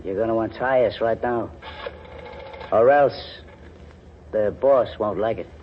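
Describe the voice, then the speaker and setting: A man's voice: lowish voice, unhurried. An actor playing a smug, dim hired thug in a 1940s radio crime drama, with threatening, uneducated tough-guy speech. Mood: smug threat. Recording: muffled old radio recording, faint noise.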